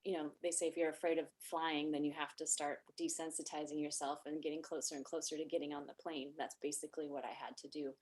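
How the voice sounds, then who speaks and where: A middle-aged woman hosting a podcast, talking in a soft voice that does not carry far, reflective and thoughtful in long winding sentences. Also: low-pitched, flat delivery, fast talker, few pauses. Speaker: podcast host and wellness coach. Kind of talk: casual podcast conversation.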